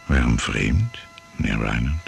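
An actor as a radio-play narrator and interviewer, clipped, formal and solemn. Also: male voice, rumbling low, lively intonation, a little slow, vocal fry, slight background noise, exaggerated voice. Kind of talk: scripted radio drama narration.

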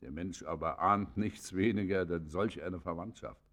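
An older actor playing a venerable, humane old judge in a classic radio play, speaking in a grave, formal, philosophizing manner. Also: male voice, sits low, normal speed, fairly bright tone. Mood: reflective. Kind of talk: classic German radio play acting, literary and declaimed.

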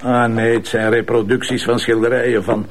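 An actor with a Flemish accent playing an irreverent, sardonic police commissioner in a TV crime drama, talking in a wry, colloquial way. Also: male voice, medium pitch, level tone, moderate pace, natural voice. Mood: mocking. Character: irreverent, sardonic male police commissioner needling his superiors. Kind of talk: naturalistic TV crime drama acting.

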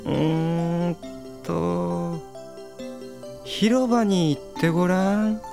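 A man's voice: high-pitched, steady tone, very slow, vocal fry, music in the background, heavily acted. A man playing a listless, resigned townsman in an audio drama, drawling and indifferent. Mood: weary, indifferent. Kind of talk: Japanese audio drama character acting.

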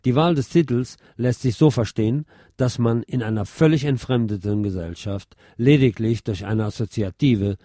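A man reading a scripted nonfiction essay aloud in a measured, articulate manner. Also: medium pitch, mellow, no echo, studio quality. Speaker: narrator reading a nonfiction text. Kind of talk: scripted narration, literary essay read aloud.

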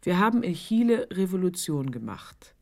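An actress in a radio drama playing a woman who reads an affectionate, florid letter aloud, deliberately, savouring each phrase with pauses. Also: lowish voice, sing-song. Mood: earnest, proud.

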